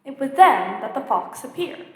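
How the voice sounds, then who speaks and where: A woman's voice: high-pitched, big pitch swings, normal speed. A woman leading worship, reading a story aloud to a congregation in a church. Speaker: church worship leader telling a children's story. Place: large church sanctuary. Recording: echoey church hall with long reverb.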